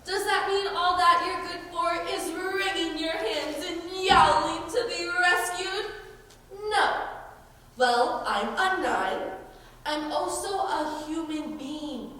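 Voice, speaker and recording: A teenage girl playing a feisty, indignant, independent nun with an emphatic, sarcastic delivery in a high school stage play. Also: takes it slowly, bright, forceful tone, full character voice. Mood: sarcastic, defiant. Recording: distant, echoey hall with background noise.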